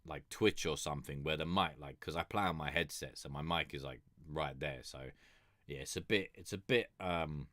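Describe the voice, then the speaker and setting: A man with a very deep voice chats off the cuff on his music stream. He rambles and restarts, dropping fillers and the odd curse, and lifts his voice a touch above its lowest register. The sound is close and dry, with no room around it. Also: normal speed, pushed and bright, no room sound. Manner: rambling, many fillers and restarts, casual swearing; consciously keeps his voice raised above its natural depth. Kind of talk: casual chat.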